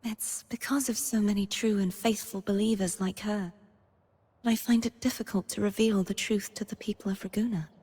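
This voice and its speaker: A woman playing a gentle, earnest, solemn young knightly maiden in a video game, speaking softly in a scripted monologue. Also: medium pitch, fairly even intonation, moderate pace, breathy edge, close to an everyday voice. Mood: warm, reflective. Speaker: game character voice actor. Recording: long reverb on the voice.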